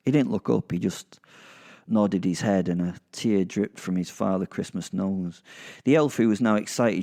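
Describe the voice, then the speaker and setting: A man telling first-person dark comedy horror stories in a sardonic, conversational, sweary manner as a petty werewolf. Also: fairly low pitch. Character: petty, sardonic werewolf narrating his own misdeeds. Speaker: podcast host and storyteller narrating in character.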